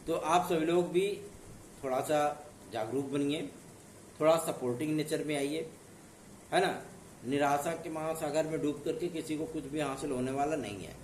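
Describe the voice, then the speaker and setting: A hoarse man from northern India gives an earnest, reassuring exam-news update, often checking in with his listeners. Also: fairly high pitch, normal speed, darkish tone, distant mic, slight background noise. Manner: earnest, reassuring, with repeated tag questions. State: hoarse from a sore throat. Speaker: exam news channel host. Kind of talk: casual direct-to-camera update. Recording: echoey room, voice off-mic.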